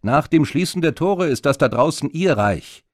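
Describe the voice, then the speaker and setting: A man voicing a gruff, self-assured Viking-like warrior in an audiobook, speaking with weight. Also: fairly high pitch, lively intonation, normal speed, no echo, studio quality, voice pushed up. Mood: knowing.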